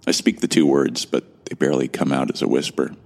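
An actor playing a bewildered, introspective amnesiac from Earth, telling his story in the first person in a measured, gravelly voice for an audio drama. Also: a bass voice, steady tone, a little mellow, heavily acted. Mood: introspective, tense.

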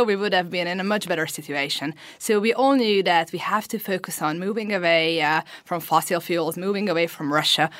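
Female politician, earnest and a bit halting, lowish voice, dry sound. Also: fairly bright tone, no room sound. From Hungary. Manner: earnest, with hesitations. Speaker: member of the European Parliament. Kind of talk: interview answers.

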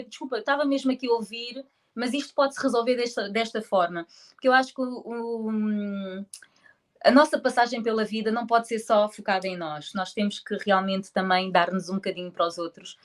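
A woman in her forties, born in Angola and raised in Portugal, talks as a guest in a relaxed interview. Her voice sits at an average pitch, and she comes across as playful and chatty. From born in Angola, grew up in Portugal. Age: 40s. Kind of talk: casual chat.

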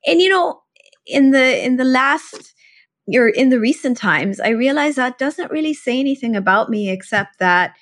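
A woman's voice: high voice, expressive, normal speed. Enthusiastic chatty woman host. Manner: warm, enthusiastic, chatty with fillers. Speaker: podcast host. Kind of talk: casual conversational interview.

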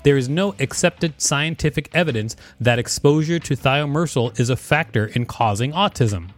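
A man's voice: medium pitch, normal speed, soft background music. A man hosting and reading an encyclopedia article aloud in a scripted narration.

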